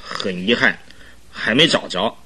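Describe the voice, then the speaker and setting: A man's voice: fairly low pitch, slightly rough, heavily acted, low-quality recording. A man playing an eager, self-satisfied police detective chief in a radio drama, exclaiming and drawing out his phrase endings. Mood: earnest, insistent. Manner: animated, exclamatory, drawn-out particles. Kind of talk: Chinese radio drama acting.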